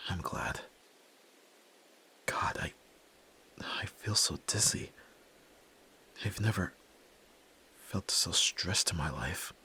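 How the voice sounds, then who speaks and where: A man playing the sensitive, earnest young son of a crime boss in an ASMR roleplay, emotional and often hushed. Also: sits low. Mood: shaken, relieved. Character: Yakuza boss's young son, sensitive, earnest, resolute nerd. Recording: clear background noise and ambience.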